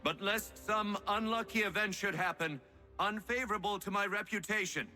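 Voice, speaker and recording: An actor playing a humble, formal commander making a stately speech in a video game cutscene. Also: male voice, high-pitched, sharp tone. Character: formal, humble male commander addressing a congress.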